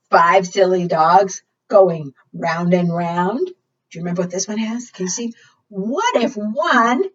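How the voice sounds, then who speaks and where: A woman hosting a preschool storytime, reading aloud in a sing-song way and putting questions to her young listeners. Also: fairly low pitch. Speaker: children's librarian and storytime host. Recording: dull, lo-fi sound, distant mic.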